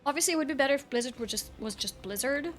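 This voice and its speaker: A woman's voice: sits high, expressive, racing, fairly bright tone. A young Swedish woman streaming and chatting casually, with an American-leaning accent, rambling and thinking aloud. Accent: American-leaning English. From Sweden. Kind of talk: casual chat with viewers while gaming. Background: game music.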